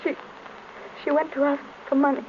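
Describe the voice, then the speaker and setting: An actress playing a timid, distraught young daughter with halting delivery in a 1930s radio drama. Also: female voice, high voice, level tone, natural voice. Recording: dull, noisy old radio recording.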